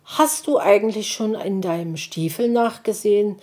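A woman's voice: mid-range voice, normal speed, mellow, natural voice. A woman voicing a caring mother hedgehog who snuffles as she talks. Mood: motherly. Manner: snuffling "nuff" sounds.

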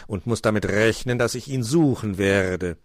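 A man's voice: lowish voice, no echo, studio quality, natural voice. A man voicing a stern, officious raven who talks pompously and caws, in a children's story. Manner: slow, drawn-out, pompous, caws "Kra". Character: stern, officious male raven inspector, cawing and grave.

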